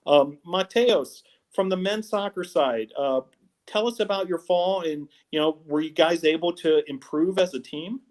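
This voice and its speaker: A man's voice: a little high, a little slow, darkish tone. A middle-aged man hosting a college sports talk show over a video call, upbeat and full of uh fillers, from an office. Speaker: host. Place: office with wall logo.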